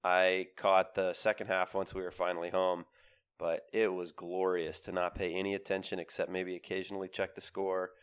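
A man in his thirties with a cold, co-hosting a casual sports podcast, talking in an animated, emphatic way. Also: low voice, moderate pace, clear, resonant tone. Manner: animated, emphatic with repeated phrases. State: has a cold, voice lower than usual. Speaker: podcast co-host.

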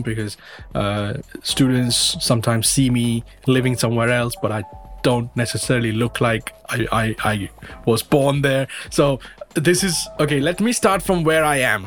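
A man from western India, an English teacher, talking casually as an interview guest and often rephrasing himself. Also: mid-range voice. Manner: rambling, repeats and rephrases himself.

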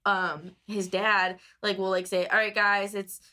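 A woman's voice: medium pitch, flat delivery, measured pace, pushed and bright. A woman from Indiana, chatting and giggling as a podcast co-host. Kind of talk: casual banter.

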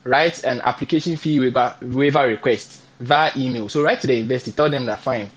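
A man's voice: mid-range voice, fairly quick, dull audio. A young man from Ghana with a Ghanaian English accent, giving motivational advice and acting out the emails he sent. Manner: animated, repeats key points, acts out emails he wrote. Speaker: scholarship guest speaker.

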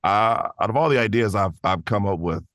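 A man with a deep voice talks casually as an interview guest. He is easygoing and modest as he tells his stories, and the sound is dry with no room echo. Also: a little fast, fairly bright tone. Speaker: interview guest, voice actor and creator. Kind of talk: casual conversational storytelling.

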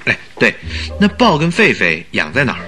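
A man's voice: mid-range voice, huge pitch swings, normal speed, sharp tone, soft background music. An actor playing a detective's nervous, uneasy male companion in a radio drama.

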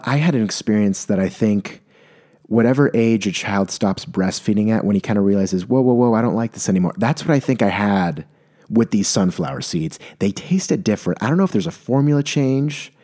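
Mellow-voiced man rambling in mock-serious tones. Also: sits low. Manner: rambling, mock-serious storytelling with self-corrections. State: maybe congested. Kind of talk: comedic monologue.

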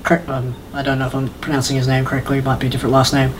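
A man's voice: mid-range voice, monotone, very fast, background noise. A man co-hosting a podcast in casual conversation, laid-back and slangy but enthusiastic about what he describes. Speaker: podcast co-host.

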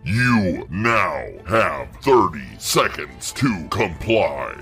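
A man's voice: low-pitched, sing-song, very slow, fairly bright tone, faint music behind, exaggerated voice. A man voicing a menacing, cold security droid with stiff, formal robotic delivery in a sci-fi radio drama. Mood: cold menace.

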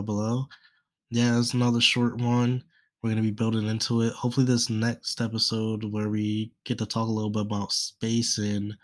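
Stuffy-nosed man, low flat voice. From United States. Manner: rambling, many fillers like "and stuff". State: congested, stuffy nose. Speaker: podcast host. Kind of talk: casual solo podcast talk.

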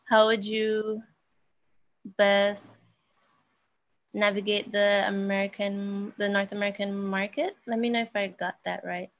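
A woman's voice: medium pitch, a bit bright. Woman host giving brief encouraging replies. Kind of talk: casual interview talk.

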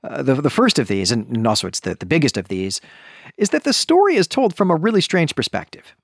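American man, lively pitch, dry close sound. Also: fairly quick, breathy edge, no echo. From suburb of Chicago, US Midwest. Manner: enthusiastic, discursive, often checks in with "right?". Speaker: book podcast host. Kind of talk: conversational book review monologue.

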